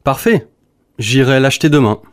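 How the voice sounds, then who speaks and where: Male exam reader, medium pitch, brief scripted replies. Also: moderate pace. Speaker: voice reader for listening test. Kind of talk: scripted short answer reading.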